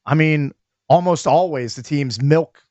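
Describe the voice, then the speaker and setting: A man hosting a sports radio show, running the segment with energetic, joking banter. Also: on the high side, measured pace, dry recording, highs cut off. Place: radio studio.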